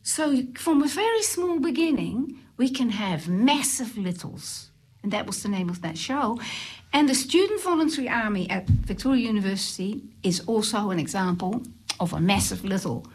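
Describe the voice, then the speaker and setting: A woman's voice: medium pitch, lively intonation, normal speed, breathy edge, low-quality recording. A woman from the Netherlands with a Dutch accent, speaking as a radio host presenting and interviewing guests in a studio.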